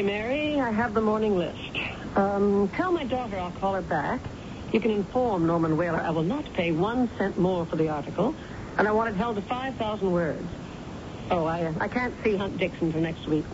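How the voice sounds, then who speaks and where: Brisk, bossy executive played by an actress, noisy recording. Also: female voice, medium pitch, lightly acted. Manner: brisk, commanding, clipped. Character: brisk, bossy, wry female publishing executive. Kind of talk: 1970s radio drama acting. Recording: dull, noisy old radio recording.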